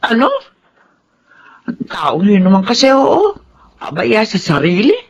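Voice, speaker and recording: A woman playing a sarcastic, exasperated older aunt in a Filipino radio drama, scolding and expressive. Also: mid-range voice, measured pace, slightly rough, lo-fi sound, full character voice.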